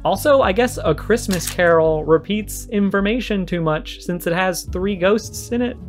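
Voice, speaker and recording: Guy with kinda high voice doing response vid commentary, emphatic and argumentative, music in the back. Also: normal speed. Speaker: video essayist responding to another creator. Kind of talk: animated commentary in a response video.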